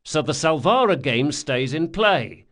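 A man's voice: on the high side, sing-song, unhurried, fairly bright tone, pitched above the performer's own voice, heavily acted. A man voicing a sardonic, menacing male rogue in an audiobook, speaking with emphatic sarcasm. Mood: bitter sarcasm.

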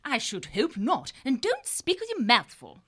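Storybook lady voyager: high, animated, bossy yet good-hearted, old-fashioned turns of phrase. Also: slightly rough, heavily acted. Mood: scolding. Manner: brisk scolding, jolly old-fashioned phrasing. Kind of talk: dramatised animal fantasy audiobook.

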